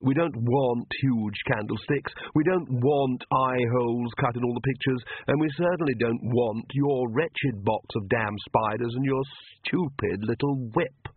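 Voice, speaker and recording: A man playing a suave, condescending young vampire aristocrat in an audiobook, speaking slowly and with polish. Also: medium pitch, lively intonation, husky, no room sound, narrow, tinny audio. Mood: icy, irritated.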